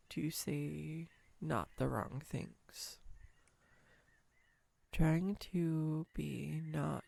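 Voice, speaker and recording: A woman's voice: low-pitched, gravelly, a little mellow, a little hiss or hum, full character voice. A woman playing a clumsy, sweetly awkward young woman smitten on a first date, speaking tenderly and playfully to the listener in a romantic audio roleplay. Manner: tender, playful, hesitant, self-deprecating. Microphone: close USB microphone, dry room.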